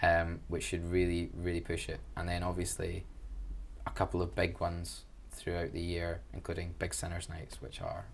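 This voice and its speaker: Young Glaswegian man, low-pitched, enthusiastic and chatty, joking at his own expense. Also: completely flat delivery, moderate pace, creaky, slight background noise. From Glasgow, Scotland. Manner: enthusiastic, chatty, self-deprecating. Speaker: student union events officer. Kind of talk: casual interview answers.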